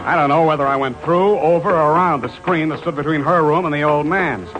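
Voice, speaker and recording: An actor playing a cool, wry private detective in a 1940s radio drama, narrating in terse deadpan lines. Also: male voice, a little high, fairly quick, natural voice, poor audio. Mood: tense, hardboiled. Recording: old radio recording with loud music.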